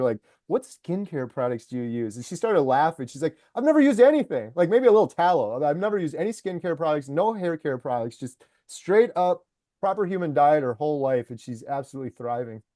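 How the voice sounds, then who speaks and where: A man talking in a casual interview, excited and chatty, with a lot of "like" and "uh" in his sentences. His voice sits a little high for a man but sounds mellow and warm. The recording is dry and close. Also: fairly quick, no echo. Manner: enthusiastic storyteller, lots of fillers like "like" and "uh". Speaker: documentary maker and YouTuber.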